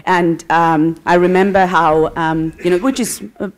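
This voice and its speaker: A woman's voice: on the low side, fairly even intonation, a little slow, clean tone. A woman making an audience comment at a conference, speaking hesitantly with many ums. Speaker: audience member.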